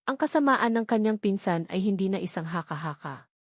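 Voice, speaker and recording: A woman reading a scripted dramatic love story as narrator, in a measured storytelling manner. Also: medium pitch, expressive, dry recording, clean studio sound.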